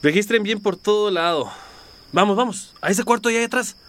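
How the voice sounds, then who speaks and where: High-pitched, bullying police chief. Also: male voice, fairly quick, fairly bright tone, slight background noise. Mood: suspicious, commanding. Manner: barking orders, cursing, impatient. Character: gruff, bullying police chief raiding a house. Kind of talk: Latin American radio drama acting. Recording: music behind voice.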